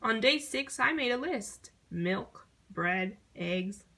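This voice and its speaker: A woman reading a children's picture book aloud in an expressive storytelling manner. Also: medium pitch, takes it slowly. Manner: expressive storytelling, acting out short exclamations.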